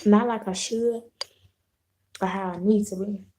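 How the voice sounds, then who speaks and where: Hoarse woman chatting slowly on a live stream. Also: mid-range voice, steady tone, a little mellow, slight background noise. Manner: unhurried, rambling, clears throat, frequent restarts. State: hoarse, losing her voice, sore throat. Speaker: vlogger hosting a live video. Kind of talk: casual live-stream chat.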